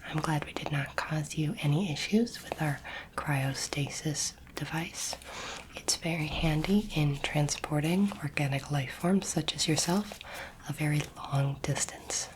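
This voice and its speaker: Woman whispering as a calm alien space doctor. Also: deep voice, background noise. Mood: calm, clinical. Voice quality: soft, breathy whisper. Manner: measured, formal, clinical and soothing. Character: calm clinical alien space doctor examining a human specimen. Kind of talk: ASMR roleplay.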